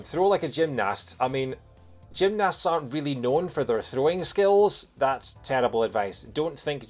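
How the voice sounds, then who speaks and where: A man from Lanarkshire with a soft Scottish accent, doing casual, jokey live gameplay commentary as a streamer. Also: medium pitch. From Bothwell, Lanarkshire, Scotland. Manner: jokey, punning, animated when reacting to the game. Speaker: video game streamer. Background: game music.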